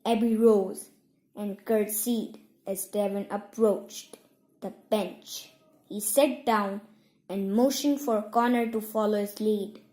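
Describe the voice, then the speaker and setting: A woman reading a story aloud as scripted narration, measured, in short separated phrases. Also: mid-range voice, fairly even intonation, mellow. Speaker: audiobook reader. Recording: roomy, echoing home recording.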